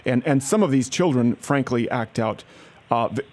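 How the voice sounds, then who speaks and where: A man's voice: mid-range voice, lively intonation, normal speed, a little mellow. Pushy man on a prank call. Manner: pushy, falsely sincere, stammering restarts. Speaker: prank caller. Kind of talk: mock-earnest prank phone call.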